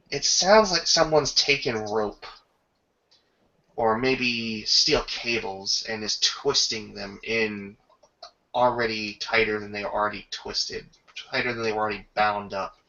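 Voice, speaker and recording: A man's voice: on the low side, bright, forceful tone, dull audio. A man narrating a tabletop roleplaying game as game master, with ornate, descriptive storytelling.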